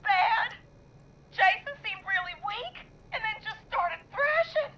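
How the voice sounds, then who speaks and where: A woman's voice: very high-pitched, animated, slow, dull audio, noisy background, full character voice. A woman playing an anxious, stammering young woman tending a wounded friend in a dramatic horror game. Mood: scared, pleading.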